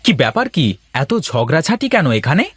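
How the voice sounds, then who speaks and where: A man's voice: fairly high pitch, very animated, fairly quick, a bit bright, husky, no echo, exaggerated voice. A man voicing a reasonable village husband, loyal to his mother, in a Bengali cartoon story. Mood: firm.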